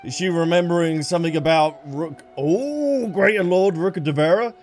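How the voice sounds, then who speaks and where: High-voiced man casually reacting to a game. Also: moderate pace, a little mellow. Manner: musing aloud, answering the characters. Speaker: gaming streamer. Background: game music.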